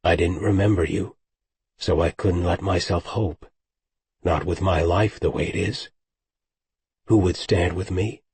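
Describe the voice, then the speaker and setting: A man voicing a brooding, powerful otherworldly warrior with a low husky growl, reading an audiobook. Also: measured pace, long pauses, darkish tone, full character voice.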